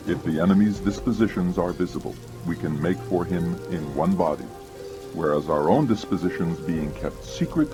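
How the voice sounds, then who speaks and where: A man with a very low voice reads scripted commentary for a dramatized audiobook. He is deliberate and declamatory. Rain sounds run behind him. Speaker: audiobook reader of commentary quotations.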